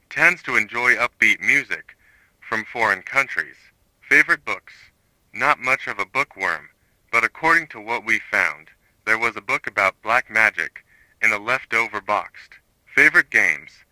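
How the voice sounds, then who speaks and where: A man playing a grim retired investigator, reciting a horror case report in a flat, formal manner. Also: on the low side, dry recording, full character voice, rough recording. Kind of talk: scripted narration, analog horror case report.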